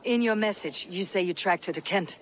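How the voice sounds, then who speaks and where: An actor playing a blunt, proud Viking warrior in a video game, terse, stern and commanding. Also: male voice, high voice, brisk, a bit bright, slight background noise. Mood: dry. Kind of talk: video game cutscene acting.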